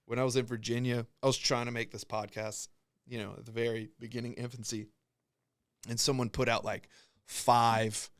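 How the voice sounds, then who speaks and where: A young man hosts a casual podcast, swearing freely and rambling through stories while acting out other people's lines. His voice sits at an ordinary pitch, and the sound is dry and close. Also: level tone, moderate pace, clean tone, no echo. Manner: profane, rambling storyteller who acts out quotes. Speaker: podcast host.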